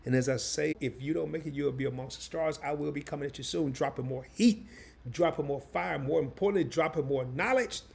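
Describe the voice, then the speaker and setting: Hoarse, gravelly-voiced man. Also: a little high, a little fast, darkish tone. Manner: loose and slangy, talks straight to the viewers. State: hoarse, losing his voice. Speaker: live stream host. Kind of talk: casual live stream chat.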